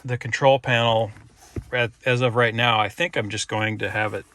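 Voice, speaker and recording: Regular guy, medium pitch, casually explaining a diy install step by step, bit of vehicle noise in the background. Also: steady tone, normal speed, bright, forceful tone. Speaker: DIY video presenter. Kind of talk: casual hands-on install walkthrough.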